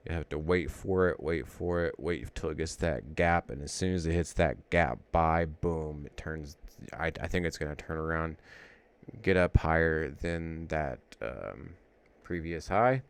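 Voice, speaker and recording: A man's voice: very deep voice, unhurried, clean tone. A man casually thinking aloud as he walks through trading charts, rambling and correcting himself as he goes. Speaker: trading educator.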